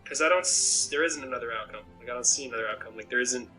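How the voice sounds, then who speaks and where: A man's voice: mid-range voice, fast, pushed and bright, faint music behind, poor audio. A young man from Maine talking about himself in a rambling, reflective way with many restarts, as a contestant in a pregame interview over a laptop webcam call from a small bedroom. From Maine, USA. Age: young adult. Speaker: game contestant. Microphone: laptop webcam video call.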